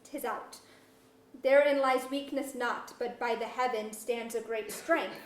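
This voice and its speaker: Woman doing stubborn principled monarch, stagey verse, highish voice, echoey auditorium. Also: steady tone, a little slow, natural voice. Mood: resolute musing. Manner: formal, declamatory. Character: principled, unbending monarch, formal and resolute. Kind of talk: staged reading of pseudo-Elizabethan verse drama. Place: museum auditorium, live reading.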